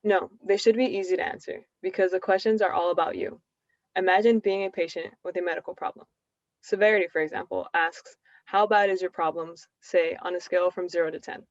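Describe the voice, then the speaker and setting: A woman's voice: fairly low pitch, steady tone, a little fast, dry recording. Woman panelist, dull lo-fi recording. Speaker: research team panelist. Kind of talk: scripted explanatory answer, read out. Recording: dull, lo-fi, dry sound.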